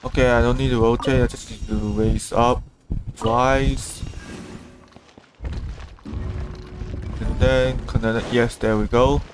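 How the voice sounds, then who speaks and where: A man's voice: medium pitch, flat delivery, slow pace, pauses often. A man livestreaming a video game, casually muttering commentary to himself as he plays. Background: game music.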